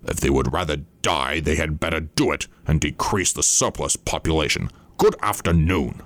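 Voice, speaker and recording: Storybook old miser, played by a man: bitter, scornful, rock-bottom pitch, gravel in the throat, faint noise behind. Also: moderate pace, no echo. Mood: cold, callous. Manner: scornful, gruff dismissals. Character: bitter, indignant, miserly old male sinner. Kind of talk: storybook character reading.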